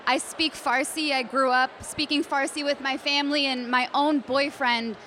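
A woman's voice: high-pitched, fairly even intonation, normal speed, sharp tone, a little hiss or hum. A woman of Iranian heritage, telling a personal story earnestly. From Iranian family.

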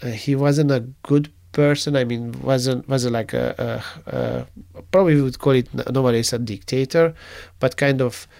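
A man from Transylvania, telling a story in a halting way, with many fillers and restarts. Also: medium pitch, fairly even intonation, vocal fry, a little mellow, no echo, studio quality. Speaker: interviewee. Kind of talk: casual conversational storytelling.

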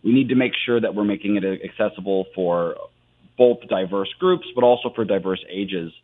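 A tinny, phone-like recording of an American man in his thirties being interviewed. His voice sits a little low, and he explains things in an animated, earnest, conversational way. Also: moderate pace. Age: 30s. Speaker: theater artist, interview guest.

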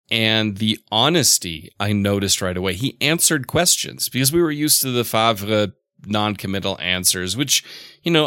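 Mężczyzna o jasnym, czystym, suchym głosie. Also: male voice, on the low side, sharp tone, clear, resonant tone, no echo, clean studio sound. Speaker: football pundit. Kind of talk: casual podcast chat.

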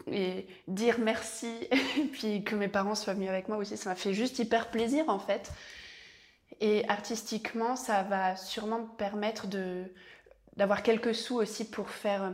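A young woman actress, answering interview questions in a chatty, reflective way with restarts. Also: medium pitch. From French-speaking Switzerland.

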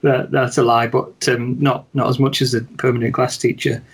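A man with a mid-pitched, rather monotone voice speaks as a guest in a relaxed chat. He talks in a measured, hesitant way and often restarts his sentences. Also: breathy edge. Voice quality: somewhat monotone. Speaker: guest, wedding photographer. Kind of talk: casual chat.

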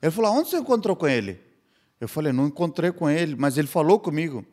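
A man's voice: fairly high pitch, sing-song, fast talker, a little mellow. Indian man telling his story earnestly. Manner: earnest storytelling in simple, slightly broken Portuguese. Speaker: missionary guest. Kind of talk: personal testimony in a podcast chat.

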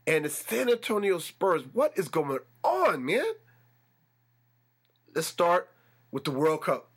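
A man hosting a solo sports podcast, a little congested and nasal, building excitement as he talks. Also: high-pitched, slow, slightly rough. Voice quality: slightly nasal. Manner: animated, builds excitement with repetition. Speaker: podcast host. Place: room with a fan running.

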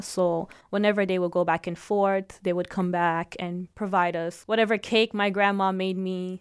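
A woman's voice: lowish voice, clear, resonant tone, no room sound, clean studio sound. A woman from Jamaica telling personal stories in a reflective, conversational way. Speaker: interviewee telling their story.

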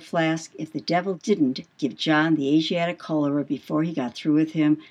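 A woman playing a brash, boastful male sailor in an audiobook, with salty, swaggering speech and emphatic questions. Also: deep voice. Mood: sly, yarn-spinning. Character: brash, boastful male whaling mate telling devil yarns. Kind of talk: dramatised audiobook dialogue.

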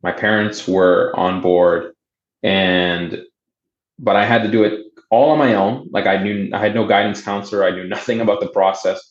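Young male guest, Portuguese first language, lowish voice, halting casual storyteller, roomy lo-fi audio. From Boston area, United States. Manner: relaxed and halting, with many fillers and restarts. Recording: roomy, dull remote audio.

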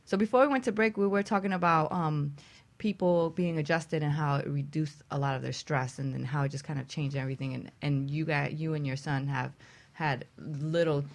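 Creaky-voiced woman radio host. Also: low voice, fairly even intonation, a little fast. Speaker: radio talk show host. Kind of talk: casual interview chat.

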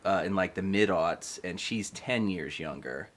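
A man with a very deep voice chats on a casual podcast. He is talkative and goes into detail, laying things out step by step. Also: measured pace, a bit bright. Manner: chatty, explains details at length. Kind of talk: casual group chat.

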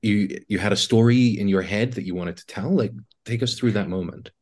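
A man with a deep voice hosts a conversational interview. He sets up his questions deliberately, in short measured phrases. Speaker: interview host.

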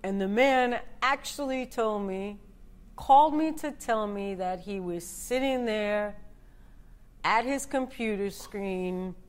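A woman who works as a model, a guest telling a story in a candid, relaxed way. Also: mid-range voice, takes it slowly. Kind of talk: casual interview storytelling.

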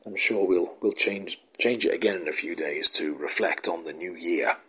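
A man talks casually as a show host, wandering from point to point with plenty of ums and restarts. His voice is hoarse from a cold, sitting low and sounding rough and breathy. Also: normal speed, sharp tone, rough recording. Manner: meandering, self-deprecating, many fillers and restarts. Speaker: podcast host. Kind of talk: casual rambling monologue.

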